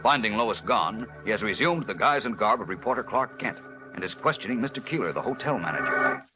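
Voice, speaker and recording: A man's voice: fairly low pitch, lively intonation, a little fast, poor audio. A man announcing and narrating in 1940s radio serial style, emphatic and suspenseful. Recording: old radio recording with loud music.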